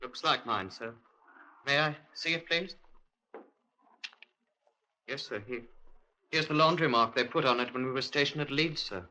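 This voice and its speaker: A man's voice: medium pitch, unhurried, pauses often, sharp tone, low-quality recording. A man playing a meek, evasive British lance corporal, stammering and deferential, in a 1950s radio drama. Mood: meek, eager. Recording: vintage 1950s radio recording.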